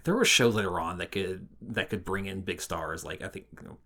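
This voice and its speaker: A man co-hosting a podcast in casual conversation, rephrasing himself haltingly as he goes. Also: low voice, bright, forceful tone. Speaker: podcast co-host. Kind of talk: casual chat.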